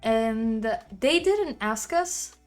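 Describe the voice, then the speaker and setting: Loud, rambling woman venting on stream. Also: fairly high pitch, animated, drawn-out words, soft background music. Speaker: livestreamer. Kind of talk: casual chat. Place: her apartment.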